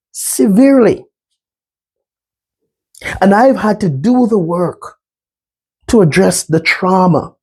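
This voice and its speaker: Slow, husky Jamaican man presenting. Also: sits high, dark tone. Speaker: mental health speaker. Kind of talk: slide presentation talk.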